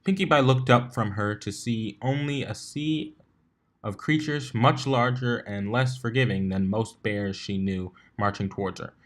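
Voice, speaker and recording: A man's voice: lowish voice, measured pace. A man reading a story aloud as narrator, sometimes stumbling and rereading words. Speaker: fan fiction reader.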